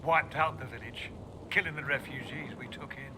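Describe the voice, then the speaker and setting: An actor playing a weary village mayor who speaks hesitantly and anxiously. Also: male voice, medium pitch, normal speed, background noise, natural voice. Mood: worried. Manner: hesitant, worried.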